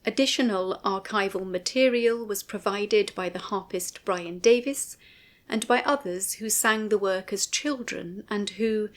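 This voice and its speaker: Measured woman reading formal narration. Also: mid-range voice. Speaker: narrator and writer of an audio commentary. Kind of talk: scripted documentary narration.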